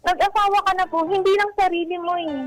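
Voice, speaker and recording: A woman's voice: very high-pitched, fairly even intonation, quick pace. A woman, talkative and polite, telling her own story casually as a caller in a run-on way. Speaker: caller seeking relationship advice. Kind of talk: casual personal account to radio hosts. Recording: music playing behind.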